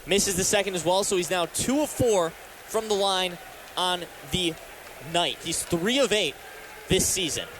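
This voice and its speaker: A man's voice: sits high, a little slow, a bit bright. An American man giving live basketball play-by-play commentary as a radio announcer from courtside. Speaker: radio play-by-play announcer and sports talk host. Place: courtside in a sports arena. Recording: live arena broadcast with faint background noise.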